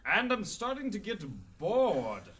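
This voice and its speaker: Wry, self-assured pun-slinging hero, male actor, radio drama style, lively inflection, measured pace, slightly distant mic. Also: high-pitched, heavily acted. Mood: cocky. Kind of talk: amateur radio drama acting. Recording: faint noise and ambience, slightly distant.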